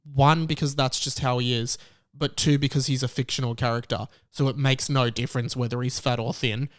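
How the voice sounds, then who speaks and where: A man's voice: mid-range voice, clear, resonant tone, dry recording, clean studio sound. A young man with an Australian accent giving emphatic, exasperated, comically indignant commentary. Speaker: YouTube commentator. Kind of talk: ranting commentary on a news story.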